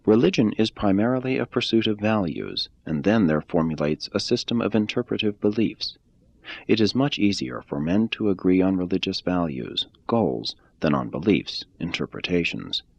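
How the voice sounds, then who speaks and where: A man reading a religious text aloud in a measured, deliberate way. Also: low voice, animated, gravelly, no room sound, lo-fi sound. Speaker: reader.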